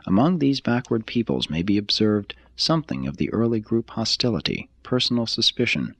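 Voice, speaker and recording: A man reading a formal text aloud as scripted narration, in a measured, deliberate manner. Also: lowish voice, gravelly, fairly bright tone, husky, dry recording. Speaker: audiobook reader.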